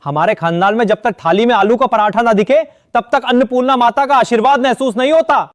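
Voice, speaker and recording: A man's voice: extremely high pitch, brisk. A man voicing a young husband who complains that there are no parathas at the table. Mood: complaining.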